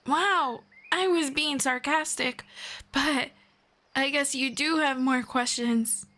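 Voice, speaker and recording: Girl doing a friendly elf lady for asmr roleplay, kinda high voice, really slow, calm, playful n a bit sarcastic. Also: full character voice. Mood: amused, sarcastic. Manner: measured, playful, gently sarcastic. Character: friendly, teasing female forest elf, calm and wry. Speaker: roleplay voice performer.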